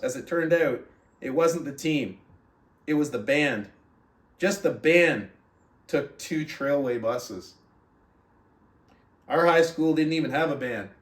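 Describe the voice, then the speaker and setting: A man with a fairly high voice hosts a book club, talking and reading aloud. He sits back from the microphone, and the room around him echoes clearly. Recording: roomy echo, distant from mic.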